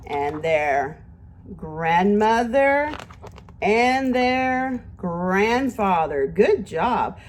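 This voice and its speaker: A woman teacher giving an animated read-aloud lesson to young children, asking simple questions and encouraging them. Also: mid-range voice, a little hiss or hum.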